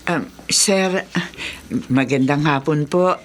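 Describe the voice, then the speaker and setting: A woman's voice: sits low, slow pace, slight background noise. A woman with a Filipino accent playing a deferential, superstitious housemaid in a radio drama. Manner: respectful, insistent storytelling. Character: superstitious, deferential neighborhood housemaid, a mother, earnest gossip.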